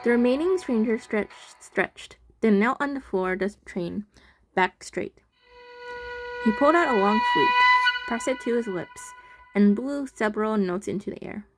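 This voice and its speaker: Woman narrating over loud game music. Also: on the high side, measured pace. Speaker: game playthrough host. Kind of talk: casual commentary and scripted narration read aloud.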